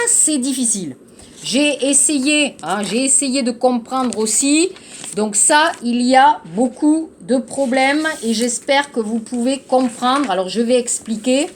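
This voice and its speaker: A woman teaching to learners, explaining a text slowly and patiently, repeating key phrases and checking understanding. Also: high voice, slight background noise. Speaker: French teacher. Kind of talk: slow classroom explanation to learners.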